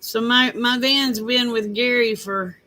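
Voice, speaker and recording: A woman's voice: fairly high pitch, fairly even intonation, unhurried, sharp tone. An older woman, rambling in a folksy, casual chat with her viewers. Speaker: vlogger.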